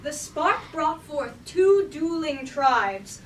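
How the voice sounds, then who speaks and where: A woman playing a solemn Greek chorus member, reciting a war legend in measured verse in an echoey room. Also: high-pitched, expressive. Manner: measured, declamatory verse delivery. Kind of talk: staged reading of verse tragedy. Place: indoor room at a live staged reading. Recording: echoey room from far off, noisy.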